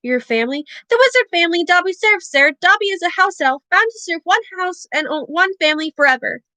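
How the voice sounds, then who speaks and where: A woman voicing a small, earnest, servile male house elf with a high-pitched, tearful, overeager voice. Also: animated, fairly quick, sharp tone, no room sound, exaggerated voice.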